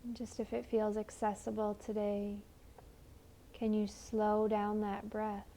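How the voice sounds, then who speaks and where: A woman leading a guided meditation in a gentle, soothing, unhurried manner with long pauses. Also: medium pitch, monotone, creaky, slight background noise.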